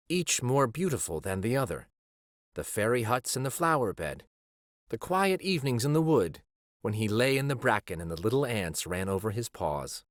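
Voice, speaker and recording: A man reading a children's story aloud as a storyteller, with measured, dramatic phrasing. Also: on the low side, no room sound, clean studio sound. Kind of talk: expressive scripted storybook narration.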